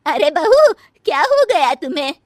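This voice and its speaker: A woman's voice: very high-pitched, big pitch swings, measured pace, no echo, studio quality, exaggerated voice. A woman voicing a commanding mother-in-law in a family comedy cartoon, handing out orders in a matter-of-fact way. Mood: concerned. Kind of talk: acted Hindi family comedy story.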